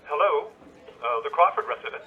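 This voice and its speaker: A man playing a mild, concerned husband asking gentle questions over the telephone in a radio play. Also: a little high, expressive, a little fast, slightly rough. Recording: muffled telephone line.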